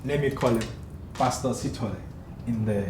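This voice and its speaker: A man's voice: mid-range voice, moderate pace. A man hosting a casual studio interview, pressing his guest with teasing, challenging questions. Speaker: talk show host. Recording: echoey studio with background noise.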